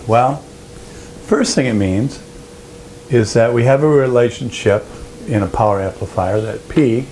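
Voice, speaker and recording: A man presenting an informal technical lesson, explaining step by step in a halting, explanatory way. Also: fairly low pitch, darkish tone, a little hiss or hum. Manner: explanatory, halting, with frequent throat clearing. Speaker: presenter of an audio engineering lesson.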